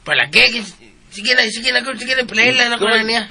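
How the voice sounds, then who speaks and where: A man playing a slow-witted, boastful sidekick in a radio drama, with stammering, hesitant repetitions. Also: extremely high pitch, creaky, bright, forceful tone, heavily acted. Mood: boastful, whining.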